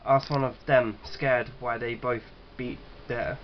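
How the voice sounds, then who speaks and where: Man, medium pitch, halting read-aloud of game story, casual sweary asides, muffled lo-fi mic, slight background noise. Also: fairly even intonation. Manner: halting reader who stumbles and rereads. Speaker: gaming video host. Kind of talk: reading visual novel narration aloud with casual reactions.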